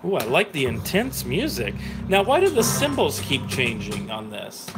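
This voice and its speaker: An American man hosting a casual livestream with live play commentary, excitable and given to exclamations and swearing. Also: mid-range voice, moderate pace. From grew up in Wisconsin, United States. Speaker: livestream host. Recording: loud music behind the voice. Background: loud music, slot machine game audio.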